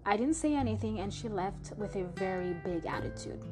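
A young woman whose first language is French tells a personal story in a loose, chatty way, full of fillers and restarts. She speaks with a light French accent at a middle pitch. Music plays clearly underneath her. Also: animated, fairly quick. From Canada (Montreal). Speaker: podcast host.

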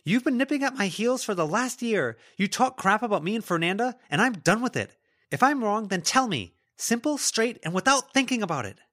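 Man voicing an angry teenage girl bully, high-pitched. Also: very animated, fast. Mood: angry, accusing. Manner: forceful, snapping, demanding. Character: intense, angry, accusing teenage schoolgirl bully.

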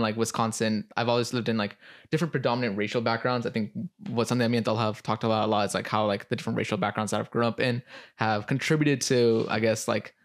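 A young man co-hosts a relaxed podcast. He talks quickly and fills his sentences with likes, at a middling pitch. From United States. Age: young adult. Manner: quick, filler-heavy, says like often. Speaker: podcast co-host. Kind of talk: casual podcast chat.

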